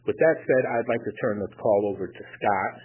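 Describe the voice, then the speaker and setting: A male company executive giving formal, measured prepared remarks on an earnings call. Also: on the low side. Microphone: telephone conference call. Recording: noisy, tinny phone conference line.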